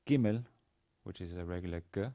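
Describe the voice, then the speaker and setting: A man in his forties, a native Danish speaker with a Danish accent, giving an instructional lecture in a halting, hesitant manner with repeated words. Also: low voice, dull audio. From Denmark. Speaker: university language teacher. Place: indoor studio with plain grey backdrop.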